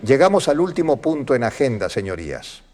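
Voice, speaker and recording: A man's voice: medium pitch, very animated, a little fast, darkish tone, lightly acted. An actor playing a formal male congress speaker presiding over a tense session, in a declaratory historical audio drama style. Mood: formal, procedural.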